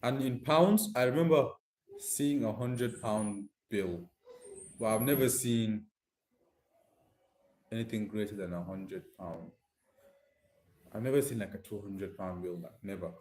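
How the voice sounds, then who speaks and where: A man's voice: on the low side, measured pace, pauses often, a little mellow, a little hiss or hum. Nigerian man chatting in an echoey room. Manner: informal, slangy, addressing viewers directly. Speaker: live streamer. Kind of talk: casual live chat with viewers.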